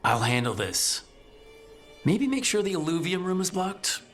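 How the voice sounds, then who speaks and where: An actor playing a steady, wry young adventurer leading a group in a video game, direct and plain-spoken. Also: male voice, high-pitched, unhurried, soft background music, heavily acted. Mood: firm.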